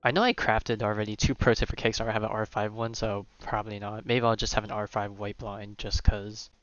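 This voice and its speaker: A man's voice: lowish voice, flat delivery, brisk, fairly bright tone, no echo, dull audio. A man live streaming a video game, thinking aloud in casual, rambling commentary that often trails off. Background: video game music.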